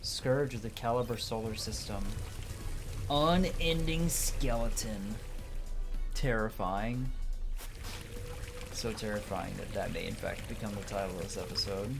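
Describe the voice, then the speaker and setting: A person with a mid-pitched voice playing a video game and reading it aloud with casual, theatrical commentary. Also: measured pace, long pauses, music in the background. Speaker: let's player reading game dialogue aloud. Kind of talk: gameplay commentary and character voicing. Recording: clearly noisy background.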